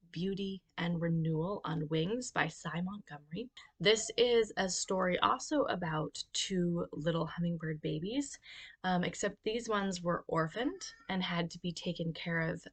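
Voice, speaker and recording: A homeschooling mother talking casually on her vlog in a measured, reflective and articulate way. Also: lowish voice. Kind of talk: casual educational vlog talk.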